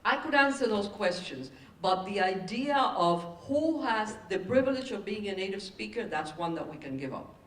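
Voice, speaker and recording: A woman's voice: mid-range voice, expressive, moderate pace, fairly bright tone. A woman whose first language is Spanish, speaking conversationally as a panelist. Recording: echoey room, slightly distant mic.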